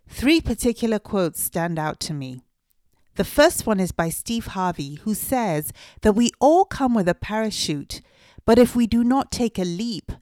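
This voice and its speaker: A woman's voice: medium pitch, a little mellow, no echo, clean studio sound. A woman hosting a podcast interview, reading a formal introduction and then asking questions in a chatty way. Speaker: podcast host.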